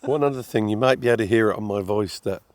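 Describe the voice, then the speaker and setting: An English man vlogging outdoors at a fishing lake, chatty and friendly, his voice still slightly hoarse as it recovers. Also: on the low side, quick pace, darkish tone, slight background noise. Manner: chatty, friendly, laughs easily. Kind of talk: casual outdoor chat.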